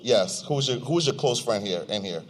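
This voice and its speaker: A man's voice: mid-range voice, lively intonation, normal speed, a little hiss or hum. A young American man co-hosting a podcast, chatting informally and with slang in a slightly distorted live event recording. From United States, of Nigerian descent. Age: young adult. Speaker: podcast co-host. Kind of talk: casual chat to a live audience. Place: live event venue with audience.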